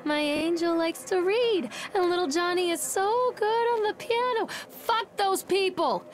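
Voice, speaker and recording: A woman's voice: very high voice, unhurried. An actress playing a bitter, unravelling young mother searching for her lost son in a horror game, speaking in a confessional way. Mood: scornful. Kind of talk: first-person horror game monologue and dramatic acting.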